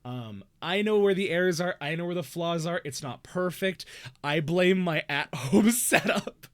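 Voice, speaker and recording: A man's voice: a little high, normal speed, a bit bright. A young man hosting a casual livestream, rambling and self-deprecating in an upbeat way. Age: young adult. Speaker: stream host.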